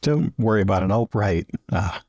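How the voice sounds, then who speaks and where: A man plays an adventurous scholar in a fantasy roleplay, low and husky. He is understated and halting, keeping things to himself, recorded close and dry with music underneath. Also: expressive, darkish tone, no room sound. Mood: evasive. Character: James, restless adventurous young scholar, reticent about leaving. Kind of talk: improvised in-character roleplay dialogue.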